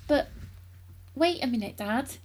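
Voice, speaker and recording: A woman's voice: high voice, lively intonation, unhurried, a little mellow, slightly rough, pitched above the performer's own voice, exaggerated voice. A woman voicing a sensible young boy in a children's story, questioning his father in a hesitant, pleading way. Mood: puzzled.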